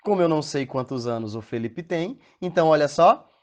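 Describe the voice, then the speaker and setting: A man's voice: on the high side, lively intonation, brisk, darkish tone. A man with a Brazilian Portuguese accent teaching a recorded math lesson, patiently explaining step by step and often checking in with the class through questions.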